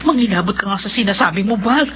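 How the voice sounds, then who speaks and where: A woman's voice: mid-range voice, lively intonation, quick pace, lightly acted. A woman playing an agitated, devout mother loyal to a cult in a horror radio drama, indignant and insistent. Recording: muffled, very noisy radio drama.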